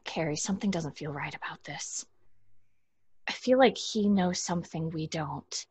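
An actress playing a wary, sardonic young twin sister in an audio horror drama, uneasy and curt. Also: female voice, sits low, normal speed, darkish tone, husky, dry recording.

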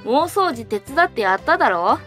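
A woman's voice: sits high, very animated, moderate pace, a bit bright, soft background music. A woman playing a mischievous tomboyish young witch in an anime-style fan voice drama, brash and boyish in her speech. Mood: cocky, scheming.

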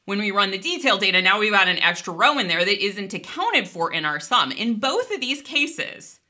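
A woman in her forties talks through a technical lesson in a lively, conversational way, her voice bright and sharp at a middling pitch. She sounds close to the mic in a small studio. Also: normal speed. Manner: lively, conversational explaining with hand gestures. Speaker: database instructor. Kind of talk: recorded video lesson.

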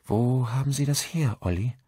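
A man's voice: medium pitch, normal speed, dark tone, husky, no room sound, studio quality, voice pushed up, lightly acted. A man voicing a friendly, hospitable village woman in an audiobook, chatty and emphatic. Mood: disbelieving.

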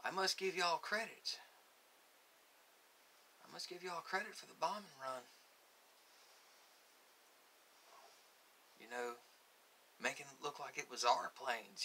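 A man acts out a stern military interrogator in an ASMR roleplay. His voice is very bright and tense, set a little high. He questions in halting, folksy repetitions over a faint hiss. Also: exaggerated voice. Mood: grudging, probing. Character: stern, folksy male army sergeant interrogating a prisoner. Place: small cluttered room, indoors. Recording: hissy home recording.

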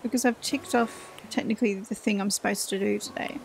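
A woman's voice: medium pitch, steady tone, brisk, vocal fry, noisy background. A woman in her forties chatting casually and self-deprecatingly while she plays a game, thinking aloud. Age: 40s. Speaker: let's play host. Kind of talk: casual gameplay commentary.

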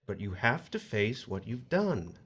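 An actor playing a smug, folksy agent of the afterlife who mockingly recites a sinner's crimes in an audio horror drama. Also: male voice, mid-range voice, very animated, a little slow, a bit bright, slightly rough, dull audio, full character voice. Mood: accusing, smug.

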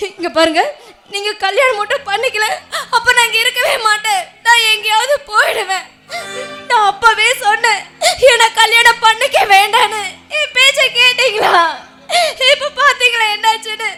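A woman's voice: extremely high pitch, moderate pace, fairly bright tone, faint music behind. A woman playing a distraught, self-sacrificing and stubborn wife in an emotional, pleading melodrama. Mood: tearful, insistent. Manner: emotional, pleading, often tearful. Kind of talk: melodramatic Tamil drama acting.

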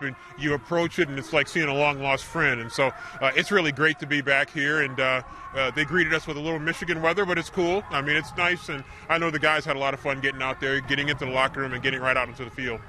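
A man's voice: medium pitch, fairly even intonation, fast talker, hardly any pauses, noisy background. A middle-aged man giving conversational football analysis as a co-commentator, speaking outdoors into a shared handheld microphone. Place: outdoors in an open football stadium.

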